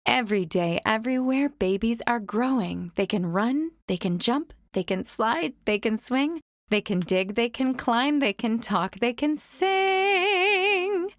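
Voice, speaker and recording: A young adult woman reading a rhyming children's picture book aloud in a gentle, sing-song storytime manner. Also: a little high, expressive, takes it slowly, no room sound, clean studio sound.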